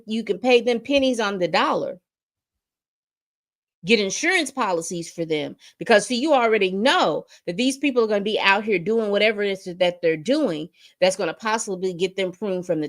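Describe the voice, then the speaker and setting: A woman's voice: medium pitch, animated, normal speed. A woman in her fifties giving a casual commentary monologue, emphatic and repetitive, often restarting her sentences. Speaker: commentary host.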